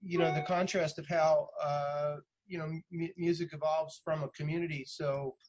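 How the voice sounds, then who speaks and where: A man hosting a casual interview, feeling his way into questions haltingly and repeating words. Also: a little high, level tone, a little mellow, rough recording. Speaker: interviewer, host. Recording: faint background noise.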